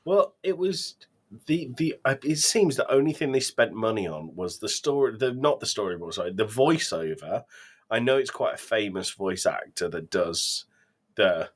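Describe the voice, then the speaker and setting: A man's voice: lowish voice, lively intonation, moderate pace, breathy edge. A man co-hosting a podcast, chatting casually with an enthusiastic, emphatic way of talking. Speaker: podcast co-host. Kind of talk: casual chat.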